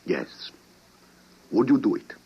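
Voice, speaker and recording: An actor playing a grave, persuasive president with a solemn, deliberate delivery in an old radio drama. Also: male voice, close to an everyday voice. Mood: solemn, insistent. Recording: muffled vintage radio drama recording.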